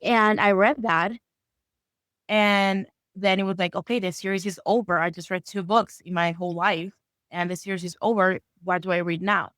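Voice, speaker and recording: A woman's voice: mid-range voice, steady tone, normal speed, fairly bright tone, no echo, clean studio sound. A woman in her thirties from Venezuela, a native Spanish speaker, telling a friendly story as a guest author. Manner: friendly, storytelling, uneven pace. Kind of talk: casual interview chat.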